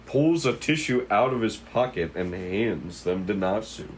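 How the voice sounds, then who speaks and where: A man's voice: fairly low pitch, animated, a little slow, a little hiss or hum. A man reading stage directions aloud as narrator at an amateur table read.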